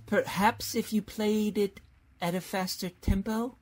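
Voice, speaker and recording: Woman playing a flustered, husky, bumbling professor. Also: mid-range voice, steady tone, measured pace, natural voice. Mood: hesitant, polite. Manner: hesitant, dithering. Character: flustered, bumbling male college professor Doctor Tweedy. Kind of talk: old-time radio comedy acting.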